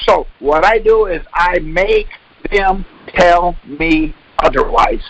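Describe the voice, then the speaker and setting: A man's voice: high-pitched, slow pace, a bit bright, highs cut off. A man leading a call, explaining and debating in an insistent, corrective way with pointed questions. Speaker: call leader and instructor.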